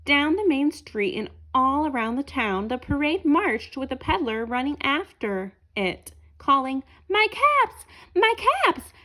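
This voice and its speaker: A woman reads a children's storybook aloud. Her voice is high, with very lively rises and falls, and her narration is expressive and aimed at young listeners. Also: measured pace, hardly any pauses, low-quality recording. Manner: asks listeners questions as she reads. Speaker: storybook reader.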